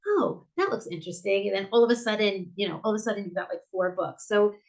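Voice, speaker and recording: A woman's voice: medium pitch, animated, fast, darkish tone. Chatty American woman, middle-aged. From United States. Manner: chatty, lots of restarts and fillers. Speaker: bookstore owner, interview guest. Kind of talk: casual interview answers.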